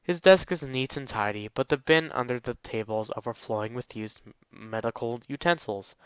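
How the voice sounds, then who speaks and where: A man's voice: mid-range voice, normal speed, smooth, no echo, lo-fi sound. A man hosting a let's play, reading visual novel text aloud with casual, jokey asides and getting easily sidetracked. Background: faint game music.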